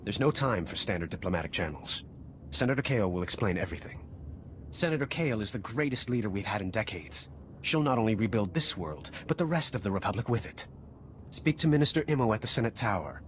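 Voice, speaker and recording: A man plays an earnest liaison in a game. His voice sits somewhat low and his speech is formal and courteous, over faint ambience. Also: animated, fast talker, slight background noise, close to an everyday voice. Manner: formal, polished, deferential. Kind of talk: video game cutscene acting.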